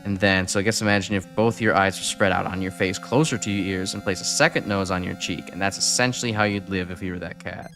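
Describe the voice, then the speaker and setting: A man's voice: sits low, fairly even intonation, quick pace, few pauses, fairly bright tone, soft background music. A young man from Chicago with a Chicago accent, rambling and correcting himself while chatting on a game stream. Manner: rambling, self-correcting, chuckling. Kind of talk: casual game stream chat.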